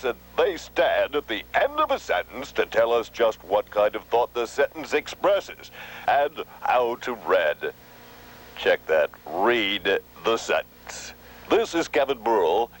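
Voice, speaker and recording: A man's voice: lowish voice, heavily acted. A man playing a self-important, earnestly silly news anchor in a children's TV parody bulletin, with pompous, emphatic delivery.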